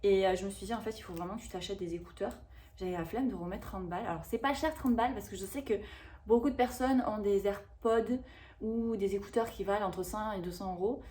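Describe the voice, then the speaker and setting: A woman in her thirties chatting casually to camera about a shopping haul, rambling and expressive with lots of fillers. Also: mid-range voice, fairly quick. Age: 30s. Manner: chatty and rambling, with frequent fillers and self-corrections. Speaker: vlogger reviewing a shopping haul.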